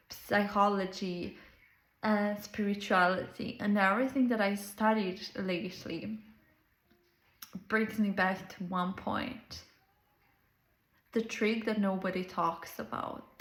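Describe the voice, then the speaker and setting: Slow-speaking woman with a Serbian accent. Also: medium pitch, roomy sound. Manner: slow, earnest, drawn-out phrasing with simple non-native grammar. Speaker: life coach. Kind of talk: reflective monologue to camera.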